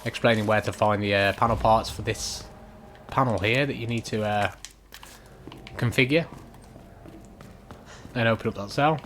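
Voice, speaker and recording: A man giving unhurried, instructional commentary over a video game walkthrough in a casual way. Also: lowish voice, steady tone, fairly bright tone, slight background noise. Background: video game ambience.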